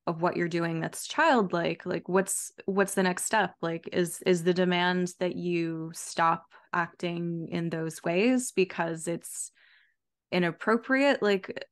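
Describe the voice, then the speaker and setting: A woman's voice: fairly low pitch, steady tone, slow. A woman in her thirties hosting a podcast, chatting casually and hesitantly as she searches for words. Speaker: podcast host. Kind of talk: casual chat. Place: room at home, video call.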